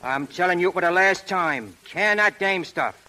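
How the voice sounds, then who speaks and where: An actor playing a brash, bossy American street tough in a 1930s radio comedy, scolding in slang. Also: male voice, high voice, lively intonation, moderate pace, sharp tone, full character voice, poor audio. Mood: bossy, irritated. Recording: vintage 1930s radio recording.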